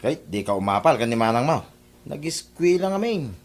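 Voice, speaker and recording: A man's voice: medium pitch, sing-song, fairly quick. A man playing a put-upon, complaining fish-vendor husband in an Ilocano comedy radio drama, with an Ilocano accent. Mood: teasing. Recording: faint market crowd behind.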